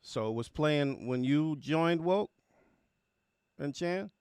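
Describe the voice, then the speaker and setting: A man's voice: fairly high pitch, animated, takes it slowly, darkish tone, smooth, no echo, clean studio sound. A man hosting a livestream, chatting casually and slangily as he greets viewers by name. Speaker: livestream host of music reaction show.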